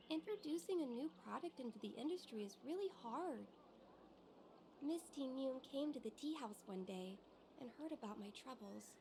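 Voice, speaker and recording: A woman's voice: high-pitched, noisy background. A woman voicing an earnest, cheerful young female tea house owner in a video game, polite and heartfelt.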